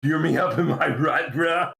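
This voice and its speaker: A man playing an anxious, eager young man in a comedy screenplay read, with halting, emphatic delivery. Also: a little high, creaky, pushed and bright, pitched above the performer's own voice. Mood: forced cheer.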